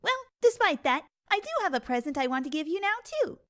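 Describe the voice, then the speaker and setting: A woman playing a bubbly, affectionate pony mother in a dramatic fan fiction reading, with a gushing, excitable manner. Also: sits high, fairly quick. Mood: excited.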